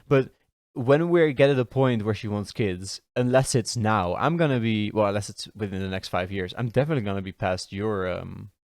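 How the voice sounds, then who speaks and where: A man's voice: on the low side, normal speed, hardly any pauses, no room sound, studio quality. A man in his twenties hosting a casual podcast chat, blunt and informal, often questioning his partner. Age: 20s. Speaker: podcast host.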